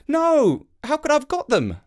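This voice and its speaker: An eager, cheerful young storybook boy, acted out by a narrator. The pitch jumps far above the narrator's own and swoops about. He is excitable and keen. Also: moderate pace. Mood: insistent. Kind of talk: children's storybook character voice.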